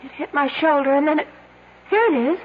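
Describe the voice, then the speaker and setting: An actress playing a desperate young woman trapped in the dark, urgent and emotional, in radio drama style. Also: high-pitched, fairly quick, close to an everyday voice. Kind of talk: 1970s radio drama acting. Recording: muffled, hissy old radio recording.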